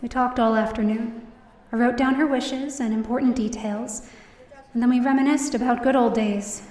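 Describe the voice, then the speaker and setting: An actress voices a worried daughter telling her story, reflective and subdued, in a fairly high voice. It is a scripted audio drama, heard in a roomy space a bit away from the mic. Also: steady tone, moderate pace, a little mellow, natural voice. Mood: reflective, sad. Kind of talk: first-person scripted narration in audio drama. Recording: roomy, distant mic, faint music behind.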